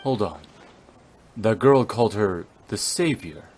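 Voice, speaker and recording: A man's voice: fairly low pitch, expressive, measured pace, a little mellow. A man voicing a stoic, cold and brooding warrior in a video game, with solemn, formal, terse delivery. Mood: serious, guarded. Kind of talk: dramatic video game voice acting.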